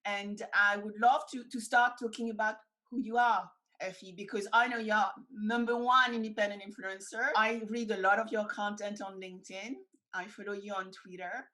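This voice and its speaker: A woman's voice: medium pitch, steady tone, normal speed, hardly any pauses, pushed and bright, low-quality recording. A woman hosting a webinar interview, asking questions in a welcoming, encouraging way. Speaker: webinar host.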